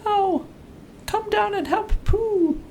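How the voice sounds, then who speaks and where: A man voicing a small, timid, polite piglet from a children's storybook, in a thin squeaky falsetto with an earnest, hesitant manner. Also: takes it slowly, vocal fry, darkish tone, a little hiss or hum, heavily acted. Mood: eager, helpful.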